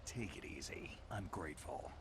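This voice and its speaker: An actor playing a gruff, gravelly veteran infiltrator in a video game, terse and dryly deadpan. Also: male voice, extremely low pitch, normal speed, noisy background. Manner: terse, dry, flirtatious deadpan. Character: gruff, wry veteran male special-forces infiltrator.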